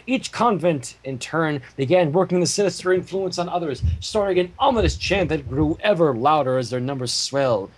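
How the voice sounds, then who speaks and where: A man's voice: fairly high pitch, animated, moderate pace, hardly any pauses, low-quality recording. A man reading fan fiction aloud as a theatrical storytelling narrator.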